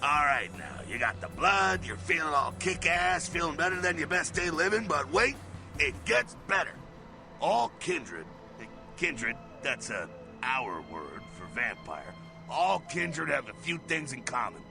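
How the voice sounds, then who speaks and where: A brash street vampire swaggers through slangy advice in a rough, gravel-heavy voice. The pitch swings widely, giving this jovial game mentor a lot of bounce, and music plays clearly behind. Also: male voice, sing-song, unhurried, heavily acted. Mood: animated, boastful. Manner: slangy, folksy, swaggering, swears. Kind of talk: video game character acting.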